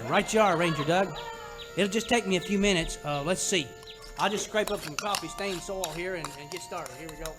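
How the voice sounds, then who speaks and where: A man playing an excitable, gee-whiz cowboy sidekick in a comic old-time radio western, folksy and exclamatory. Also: sits high, fairly quick, music in the background, natural voice. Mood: earnest, alarmed.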